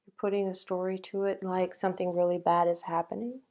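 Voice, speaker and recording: A woman leading a guided meditation in a gentle, halting way, with long pauses between phrases. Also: fairly low pitch, level tone, highs cut off.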